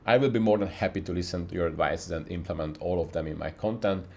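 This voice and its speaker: A man's voice: sits low, quick pace, creaky, a little mellow, breathy edge. A young man speaking English as a second language, talking earnestly to camera in a home studio, often restarting his sentences. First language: not English. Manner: earnest, frequent restarts, non-native phrasing. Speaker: YouTube creator. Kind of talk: unscripted talking-head vlog. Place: dark room, home studio with softbox. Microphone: camera mic facing the speaker.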